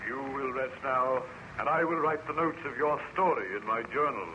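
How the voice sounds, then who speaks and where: A hissy old radio recording features a man as a kindly, lonely sea captain. His voice is mid-pitched, his delivery formal, courteous and deliberate. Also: dull audio, close to an everyday voice. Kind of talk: 1930s radio drama acting.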